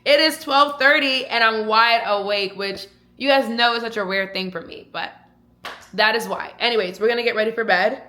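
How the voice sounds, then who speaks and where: A woman's voice: on the high side, normal speed, sharp tone, echoey room. A young woman chatting casually to camera in a lifestyle vlog, talking to her viewers and to herself and repeating words for emphasis. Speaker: lifestyle vlogger.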